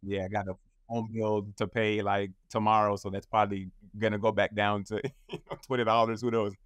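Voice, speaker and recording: A man's voice: on the low side, little pitch movement, fairly quick, no room sound. A man in his thirties, a guest in a casual interview, telling his story in a loose, rambling way full of fillers. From United States. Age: 30s.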